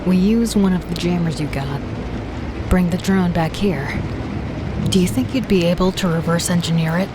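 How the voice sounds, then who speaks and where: A woman's voice: fairly low pitch, normal speed, close to an everyday voice. An actress playing a direct, practical woman taking charge on a prison island, in an audio drama. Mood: curious. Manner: direct, questioning. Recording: noisy background.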